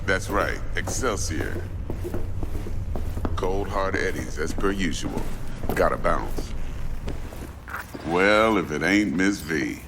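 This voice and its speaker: An actor playing a big, slick crime fixer in a video game, gravelly voice and smooth, commanding manner. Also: male voice, deep voice, lively intonation, slow, pauses often, background noise, exaggerated voice. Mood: smooth, businesslike. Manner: smooth, commanding, folksy slang. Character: big, slick, street-smart male crime fixer boss. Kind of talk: dramatic video game acting.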